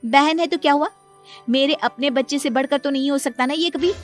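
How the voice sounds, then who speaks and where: A woman acts out a selfish, cruel stepmother from a cartoon morality tale. The voice climbs high as she scolds and orders people about with sharp scorn. Faint music plays underneath. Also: fairly quick, lightly acted. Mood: angry, defiant. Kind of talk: animated moral story dialogue.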